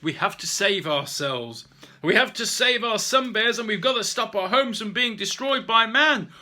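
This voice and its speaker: A man's voice: medium pitch, big pitch swings, a little fast, bright, forceful tone, slightly rough, exaggerated voice. A man voicing a proud male orangutan clan leader who speaks in formal, rallying tones in a storybook. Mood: rousing.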